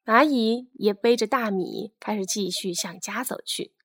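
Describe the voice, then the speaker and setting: A woman narrating a scripted children's fairy tale in an expressive storytelling manner. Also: mid-range voice, measured pace, dry recording, studio quality.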